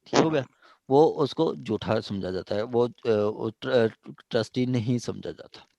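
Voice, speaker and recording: Slow-paced Pakistani man lecturing on law. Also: mid-range voice, vocal fry, mellow, no echo, highs cut off. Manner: repetitive, explains step by step with frequent check-in questions. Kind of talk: classroom lecture, reading out and explaining legal text.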